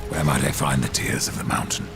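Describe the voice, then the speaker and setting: A man playing a grim, imperious horseman of the apocalypse in a dark fantasy game, curt and menacing, with a gravelly voice. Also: very deep voice, steady tone, fairly quick, music in the background. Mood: stern.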